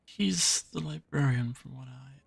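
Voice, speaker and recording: A man voicing a shy, bumbling male jacklomire creature with vampire traits, who speaks in a halting, hesitant way. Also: medium pitch, creaky, husky, no echo, heavily acted. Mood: nervous, hesitant. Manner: halting, hesitant, many fillers. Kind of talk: audio roleplay drama.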